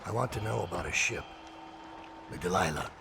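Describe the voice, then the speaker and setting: An actor playing a grim master assassin in a video game, narrating tersely and broodingly with a gravelly voice. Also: male voice, low-pitched, expressive, brisk, background noise. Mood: terse, demanding. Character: grim, brooding master assassin telling his own story. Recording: loud music under the voice.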